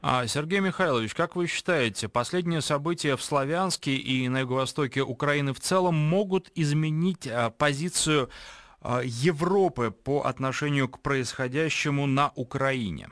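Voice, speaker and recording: A man's voice: medium pitch, normal speed, fairly bright tone, no room sound, studio quality. A man interviewing a guest on the radio, asking long questions with "ah" fillers.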